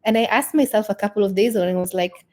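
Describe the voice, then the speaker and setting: Mellow-voiced upbeat young woman. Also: medium pitch, racing. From Italy. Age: 20s. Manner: fluent, upbeat, laughs between thoughts. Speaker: guest, sales manager and personal branding founder. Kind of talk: casual online interview.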